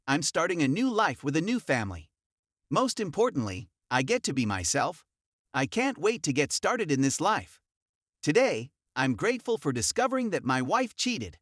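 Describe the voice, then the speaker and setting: A man reading an online forum story aloud in the first person, in an even storytelling manner. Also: fairly high pitch, normal speed, no echo, studio quality. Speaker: narrator.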